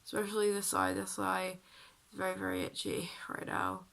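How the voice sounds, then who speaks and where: A young woman with a deep, husky voice, sounding ill and croaky, chatting casually to the camera about her makeup and feeling sick. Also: flat delivery, normal speed, a bit bright. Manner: chatty and self-deprecating, sighing. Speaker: beauty vlogger.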